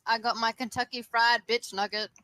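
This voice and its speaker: A woman chatting casually in a goofy, sweary, excitable way over a noisy mic. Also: on the high side, normal speed. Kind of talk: casual chat.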